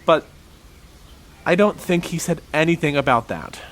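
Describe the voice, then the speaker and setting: A man's voice: on the high side, sing-song, normal speed, fairly bright tone. An actor playing a hesitant, earnest young man in an audio drama, speaking in an unsure way. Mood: explanatory.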